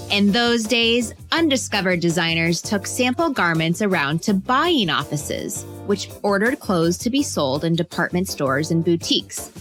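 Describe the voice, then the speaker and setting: Woman narrating with lively, expressive pitch. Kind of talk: scripted storytelling narration of a biography for children.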